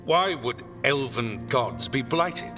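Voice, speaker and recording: A haughty, stern supreme commander from a fantasy game. His delivery is formal and swings widely in pitch, ringing through a long echo with loud music behind. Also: sing-song, slow pace. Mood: skeptical, impatient. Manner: formal, pompous, dismissive. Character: stern, haughty military commander of a warden order. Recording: echoing hall under loud game music.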